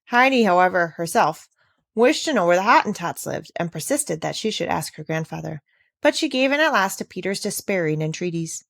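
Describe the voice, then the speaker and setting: A woman's voice: lowish voice, expressive, brisk, gravelly, no echo. A woman reading a children's classic aloud as a scripted storybook narrator.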